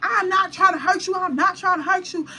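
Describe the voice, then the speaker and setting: A woman acting out a male club security guard who urgently repeats his pleas for calm. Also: very high voice, a little fast, voice pushed up, exaggerated voice. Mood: pleading.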